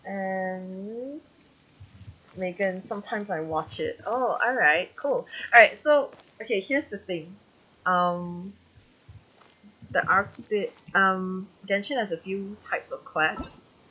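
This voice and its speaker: Bright-voiced Malaysian woman streamer chatting slowly. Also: medium pitch, slightly rough, low-quality recording. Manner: giggly, informal, self-deprecating. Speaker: live streamer. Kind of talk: casual livestream chat with viewers.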